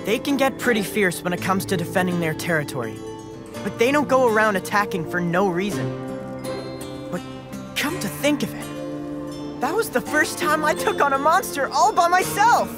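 A woman playing a plucky, excitable young cat-loving adventurer in a fantasy video game, speaking in an eager, earnest way. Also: a little high, moderate pace, close to an everyday voice. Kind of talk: animated video game dialogue acting. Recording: game music under the dialogue.

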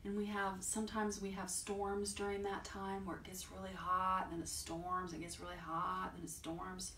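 A woman's voice: medium pitch, normal speed, roomy sound, recorded from a distance, background noise. American woman leading preschool storytime. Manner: simple, expressive explanations for small children. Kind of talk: children's storytime talk and read-aloud. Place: small indoor room. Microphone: camera a few feet away.

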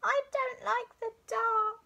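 A small, frightened bear cub from a storybook, acted out by a woman, speaks very high and plaintive. He is needy and whines timidly. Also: steady tone, takes it slowly, gravelly, sharp tone, muffled recording, exaggerated voice. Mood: scared, plaintive. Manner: plaintive, whining.

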